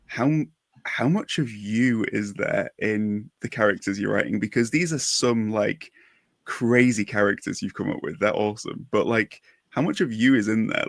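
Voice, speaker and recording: A man's voice: mid-range voice, gravelly, dry recording, clean studio sound. A man interviewing in a casual podcast chat, informal and enthusiastic in manner.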